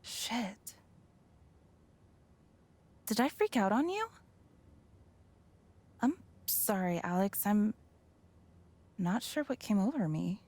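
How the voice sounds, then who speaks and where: A woman's voice: medium pitch, sing-song, takes it slowly, pauses often, a little mellow, exaggerated voice. An actress playing a grieving, bitter young woman, raw and wounded, in a video game. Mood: dazed, apologetic.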